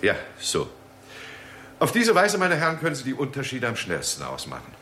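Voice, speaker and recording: An actor playing a keen, courteous astronomer in a 1960s German radio drama, explaining things emphatically and politely. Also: male voice, medium pitch, huge pitch swings, moderate pace, pushed and bright. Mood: confident, explanatory. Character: keen, courteous male astronomer presenting an alarming discovery. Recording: 1960s radio recording with faint noise.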